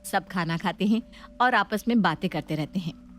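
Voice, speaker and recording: A woman's voice: mid-range voice, moderate pace, soft background music. A woman narrating a scripted family story as a storyteller.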